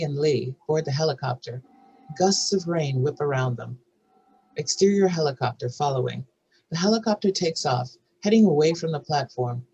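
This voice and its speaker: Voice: mid-range voice, darkish tone, slightly rough, poor audio. A narrator reading out stage directions and scene headings in a scripted table read.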